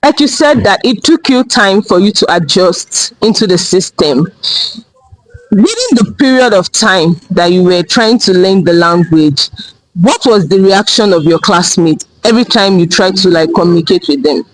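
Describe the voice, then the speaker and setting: A young man with a Nigerian accent interviewing a guest in a relaxed, chatty way, often saying "like.". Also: high-pitched, moderate pace, a little hiss or hum, low-quality recording. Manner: relaxed, chatty questions with frequent "like" fillers. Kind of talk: casual interview.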